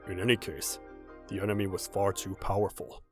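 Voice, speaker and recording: Man acting a low-voiced, protective middle-aged father. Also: level tone, fairly quick, music in the background, close to an everyday voice. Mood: grave, explanatory. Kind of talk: anime-style manga dub acting.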